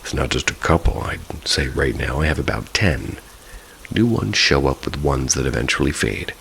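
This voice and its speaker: A man's voice: very deep voice, gravelly, slight background noise, poor audio. A man narrating first-person ghost stories from a script, in the style of a bedtime listen. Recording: steady rain ambience behind the voice. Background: rain falling.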